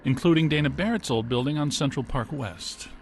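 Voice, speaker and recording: An actor voicing a brainy, literal-minded male inventor in a video game, precise, technical and deadpan, with an American accent. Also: on the high side, a little fast, lightly acted. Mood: dry, analytical. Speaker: scientist inventor character. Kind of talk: acted video game dialogue. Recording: music behind the dialogue.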